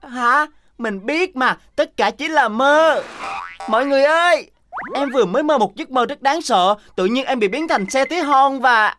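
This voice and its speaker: A woman voicing an earnest, excitable, easily panicked little boy bus in a children's cartoon dub, with a childlike, pleading delivery. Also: high-pitched, normal speed, slight background noise. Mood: anxious. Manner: exclamatory, pleading.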